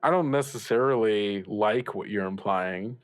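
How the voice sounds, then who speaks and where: A man playing a reassuring older radio mentor in an improvised audio drama, giving gentle advice in a halting, rambling way. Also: lowish voice, no echo, natural voice. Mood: flustered, apologetic. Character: reassuring older male radio mentor, upbeat, evasive about troubles. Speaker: student radio show host. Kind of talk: improvised actual-play audio drama, conversational.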